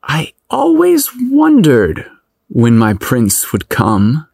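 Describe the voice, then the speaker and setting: A man acts out an eager, awkward guy on a date in an audio story. He is hesitant and teasing, with lively, swooping pitch and slow delivery in a dry recording. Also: no room sound. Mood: playfully teasing.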